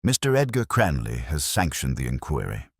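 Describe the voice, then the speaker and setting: A man voicing a keen, coolly commanding Victorian detective in an audiobook, speaking precisely and deliberately. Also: extremely low pitch, wildly swooping pitch, slightly rough, no room sound, studio quality, heavily acted. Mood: businesslike. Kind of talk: audiobook character dialogue.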